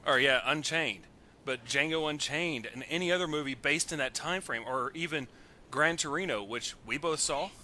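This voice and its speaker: A man's voice: on the high side, moderate pace, pushed and bright, a little hiss or hum. A man talking casually and with strong opinions on a headset in an online voice call. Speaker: participant in a recorded online voice chat. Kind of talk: casual chat. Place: recorded Skype call.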